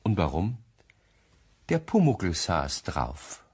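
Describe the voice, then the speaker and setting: Deep raspy guy narrating a radio play, wry, very animated delivery. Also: slow. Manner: wry storytelling with rhetorical questions. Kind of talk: scripted radio play storytelling.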